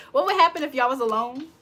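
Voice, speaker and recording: A woman's voice: high voice, expressive, brisk, noisy background. A woman doing a casual livestream tarot reading, chatting with viewers in slangy talk and reading their comments aloud.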